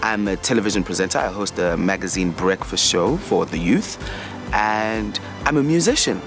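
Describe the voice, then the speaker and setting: A man's voice: fairly low pitch, lively intonation, normal speed, music in the background. A man in his twenties, a TV presenter from South Africa, introducing himself with determination. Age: 20s. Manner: determined, emphatic. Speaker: television presenter. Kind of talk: contestant introduction.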